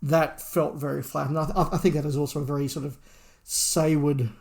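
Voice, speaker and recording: Middle-aged man with a creaky voice. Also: a little high, level tone, fairly quick, darkish tone. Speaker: podcast co-host reviewing fiction. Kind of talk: casual discussion and review.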